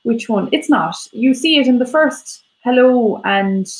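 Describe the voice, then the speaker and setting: A woman's voice: a little high, lively intonation, a little slow, darkish tone. Irish woman teacher in an echoey room. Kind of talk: casual explanatory chat.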